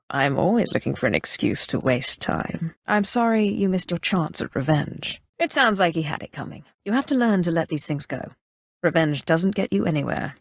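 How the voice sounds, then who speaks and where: A woman playing a proud, calculating Sith sorceress in a video game, speaking in a cool, measured, sardonic way. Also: fairly low pitch, no room sound, studio quality. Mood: cool, assured. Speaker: video game player character. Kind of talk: scripted video game dialogue acting.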